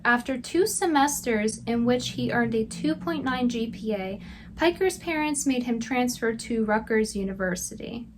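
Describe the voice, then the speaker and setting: A woman's voice: on the high side, slight background noise. A woman giving scripted commentary with sarcastic, mocking asides. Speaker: video commentator.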